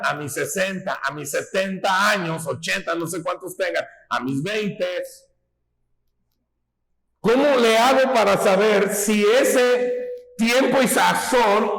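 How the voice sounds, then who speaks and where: A man delivers a sermon at an unhurried pace, his voice pitched fairly high for a man. He talks in a homely, teaching way, stopping to check that the congregation follows. The sound carries the echo of a large room. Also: fairly bright tone. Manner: folksy, asks rhetorical questions, teaching tone. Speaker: preacher. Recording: echoey hall.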